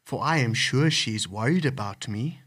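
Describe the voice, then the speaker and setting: Woman voicing a humble old man, swooping pitch. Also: animated, moderate pace, a bit bright, breathy edge, heavily acted. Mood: fond, musing. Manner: pleading, earnest. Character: humble old father, timid and polite.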